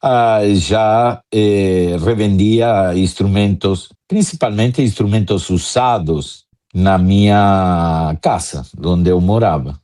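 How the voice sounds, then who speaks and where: A man from Argentina with an Argentine accent, speaking Portuguese as a second language, telling his career story slowly with many hesitations in an interview. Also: sits low, mellow. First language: Spanish. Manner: slow, many hesitant fillers. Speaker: guest, drum importer.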